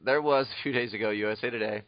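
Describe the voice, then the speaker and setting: Low-voiced chatty male podcast host. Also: quick pace, no room sound, lo-fi sound. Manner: chatty, frequent fillers, amused asides. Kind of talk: casual podcast discussion.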